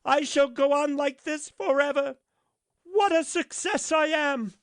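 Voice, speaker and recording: A man's voice: extremely high pitch, big pitch swings, slow, dry recording, studio quality, pitched above the performer's own voice, heavily acted. A man voicing a conceited, self-important male firework rocket in a storybook reading. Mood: boastful.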